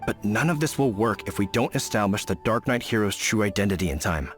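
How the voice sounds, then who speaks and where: An earnest knight officer lays out his plans in a formal, dutiful way, voiced by a man in a lowish voice. Soft game music plays behind him. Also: lively intonation, fairly quick, natural voice. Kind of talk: video game voice acting.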